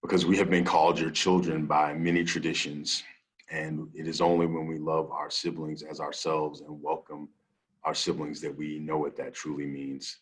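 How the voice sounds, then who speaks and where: A man speaking as a minister, reflective and deliberate, with halting phrasing. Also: extremely low pitch, little pitch movement. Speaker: minister, guest. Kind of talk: reflective talk and spoken prayer.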